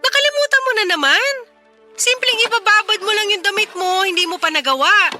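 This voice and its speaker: A woman's voice: very high voice, expressive, fairly quick, sharp tone, soft background music, exaggerated voice. A woman playing a tired, impatient but caring single mother, weary and scolding in a radio drama. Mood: exasperated. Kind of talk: Tagalog radio drama acting.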